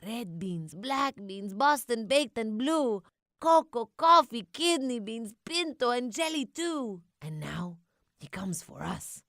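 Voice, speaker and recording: A woman's voice: fairly high pitch, expressive, slow, fairly bright tone, no echo, clean studio sound, pitched above the performer's own voice, heavily acted. A woman voicing a small male Chihuahua in an earnest, matter-of-fact manner. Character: small male Chihuahua, plain-talking, worried about his beans.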